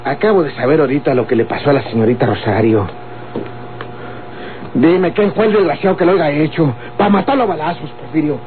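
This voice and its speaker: An actor playing a young, hot-tempered, lying bandit with a Mexican accent in an old radio drama. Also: male voice, fairly high pitch, lively intonation, a little fast, lightly acted. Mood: feigned innocence. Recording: muffled old radio recording with mains hum.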